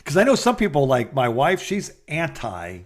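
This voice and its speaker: A middle-aged man co-hosting a podcast, telling anecdotes in a casual, halting and rambling way. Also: fairly high pitch, wildly swooping pitch, slight background noise. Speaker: podcast co-host. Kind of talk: casual phone chat, storytelling.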